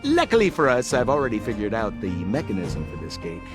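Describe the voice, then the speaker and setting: A man playing a smooth-talking, boastful young swindler guide in a video game, with a theatrical, wheedling salesman's manner. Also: fairly low pitch, huge pitch swings, fairly quick, music in the background. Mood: breezy explaining.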